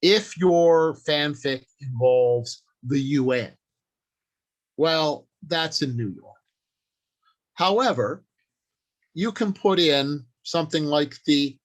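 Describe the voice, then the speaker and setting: Slow-paced older man giving a writing workshop. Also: a little high, fairly bright tone. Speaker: workshop presenter. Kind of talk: informal instructional talk.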